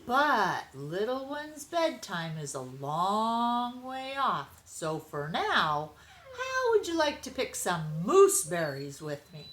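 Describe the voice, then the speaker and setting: A woman's voice: medium pitch, sing-song, takes it slowly, a bit bright, pitched above the performer's own voice. A woman voicing a playful, nostalgic old grandfather cat in a children's storybook, drawing out words in a folksy way. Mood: playful, inviting.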